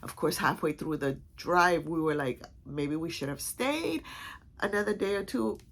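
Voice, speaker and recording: A woman's voice: sits low, animated, measured pace. A woman vlogger with a stuffy, congested voice, chatting casually in a rambling way full of restarts. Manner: rambling, many restarts and fillers. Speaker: vlog host. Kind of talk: casual chat.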